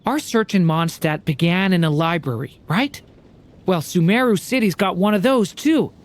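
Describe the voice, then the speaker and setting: An actor voicing a gentle, unsure young game character, speaking softly with hesitant stammers. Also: male voice, sits high, animated, moderate pace. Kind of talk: video game voice acting.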